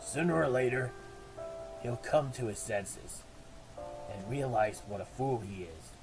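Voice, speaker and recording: A man voicing a big, brotherly mutant turtle fighter in a cartoon fan drama, steady and reassuring with a gravelly voice. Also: medium pitch, unhurried, rough recording. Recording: music behind the voice.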